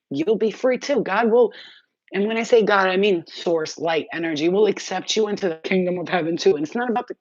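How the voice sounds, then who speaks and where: A woman's voice: medium pitch, a little mellow, no echo, highs cut off. A woman hosting a spiritual healing livestream, talking bluntly and fervently, swearing freely, and reading out viewers' comments. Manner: addresses viewers directly, reads their comments aloud. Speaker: spiritual healer livestream host. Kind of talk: spontaneous spiritual livestream talk.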